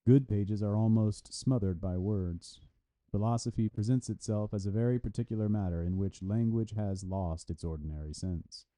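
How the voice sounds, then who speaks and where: Guy reading an academic paper out loud, lowish voice, really dark and mellow tone. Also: moderate pace. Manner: reads punctuation and citations aloud. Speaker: reader of a translated philosophy article. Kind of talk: read-aloud of an academic book review.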